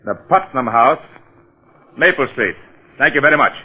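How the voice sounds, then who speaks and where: An actor playing an edgy police detective in a 1940s radio drama, brisk, clipped and commanding. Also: male voice, medium pitch, expressive, slightly rough. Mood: urgent. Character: urgent, edgy male police detective taking charge. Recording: muffled old-time radio recording.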